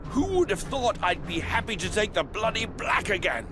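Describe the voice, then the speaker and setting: An actor playing a wry, grumbling warrior and loyal comrade in a fantasy video game, with expressive delivery. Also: male voice, high voice, moderate pace, over music. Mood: relieved grumbling.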